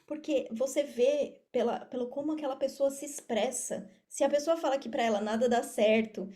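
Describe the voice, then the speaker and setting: Brazilian woman in her 30s, soft mellow tone, kinda high voice, explaining stuff casually on a podcast, repeats for emphasis. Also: normal speed. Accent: Brazilian Portuguese. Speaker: podcast guest, affiliate marketer and mentor. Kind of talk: conversational interview answers.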